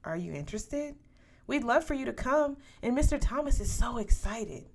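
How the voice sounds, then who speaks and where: A woman reading the part of an eager, encouraging male school recruiter in a story, with a polite, enthusiastic pitch. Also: very animated, moderate pace, creaky, exaggerated voice. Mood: upbeat, encouraging.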